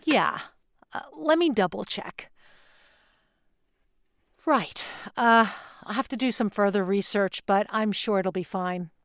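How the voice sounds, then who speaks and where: A woman's voice: medium pitch, slow pace, long pauses, dry recording, studio quality. A woman playing a pushy, evasive real estate agent in an audio drama, with a salesy, reassuring manner. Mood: evasive reassurance.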